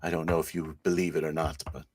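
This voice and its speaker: A man's voice: extremely low pitch, level tone, fast, darkish tone, no echo, studio quality. A man playing a fervent, secretive priest who speaks solemnly and portentously of visions and faith. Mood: grave, secretive.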